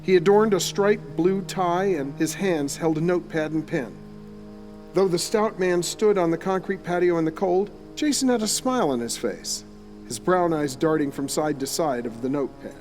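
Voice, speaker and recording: Male storyteller for audio drama, descriptive scripted read, lighter higher pitch, music bed. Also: normal speed, darkish tone. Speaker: narrator.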